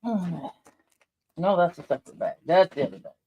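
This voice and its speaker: A middle-aged man with a soft, slightly raised voice rambles through a casual chat. He trails off and restarts, filling gaps with ums. The recording is dry and close, with no room sound. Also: sits high, moderate pace, dry recording. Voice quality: soft-spoken. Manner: rambling, chatty, many fillers and restarts. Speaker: vlogger unboxing and crafting. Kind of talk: casual chat while unpacking.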